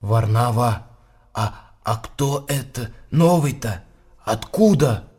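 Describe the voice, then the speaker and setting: An actor playing a curious, gossiping monk in a radio play, with a breathy, inquisitive delivery. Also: male voice, medium pitch, lively intonation, slow pace, darkish tone. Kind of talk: radio drama acting.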